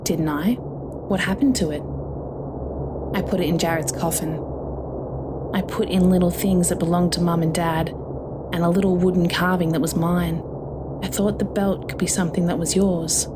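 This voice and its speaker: A woman voicing a calm, consoling sister returned from the dead in a horror story. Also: lowish voice, normal speed, long pauses, heavy background noise, close to an everyday voice. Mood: wistful, detached. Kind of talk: audio horror story dialogue.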